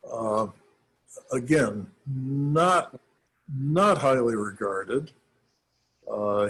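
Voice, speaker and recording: A man, a minister, giving an informal talk on church history, thoughtful and halting with many pauses. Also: medium pitch, slightly rough, low-quality recording.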